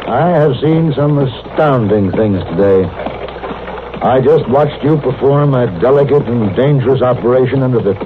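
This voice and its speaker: A man's voice: medium pitch, background noise, close to an everyday voice. Man playing courteous city doctor, tinny old radio. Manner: polite, formal, hesitant. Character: courteous, earnest big-city visiting doctor. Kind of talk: old-time radio drama acting.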